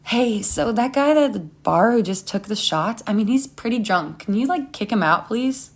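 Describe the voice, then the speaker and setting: A woman voicing a scheming young woman desperate to get rid of her date, talking in halting slang. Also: a little high, lively intonation. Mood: sly.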